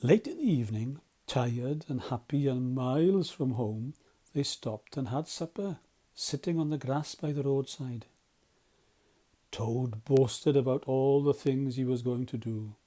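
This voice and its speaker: A man's voice: medium pitch, measured pace, warm tone. A man narrating a children's storybook as a volunteer storyteller.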